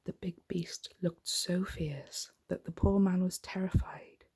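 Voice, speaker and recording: A woman gently reading a bedtime story in an unhurried, soft, whispery ASMR style. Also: fairly low pitch, fairly even intonation. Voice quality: breathy, whispery.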